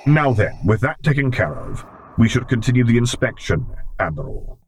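A man voicing a menacing dark armored lord in an audiobook, with a low growling rumble and slow, clipped, imperious delivery. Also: very animated. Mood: commanding. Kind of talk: audiobook character voice.

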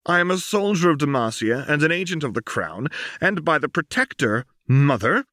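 A man's voice: a little high, big pitch swings, moderate pace, sharp tone, dry recording, clean studio sound, voice pushed up. A man voicing a proud, defiant young noblewoman soldier who speaks in a cold, cutting, indignant way.

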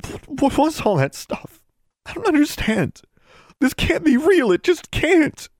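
A man's voice: very high voice, big pitch swings, measured pace, no room sound, studio quality, voice pushed up, heavily acted. A man voicing a distraught, bewildered teenage boy in an audiobook, choked and stammering. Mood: bewildered, shaken.

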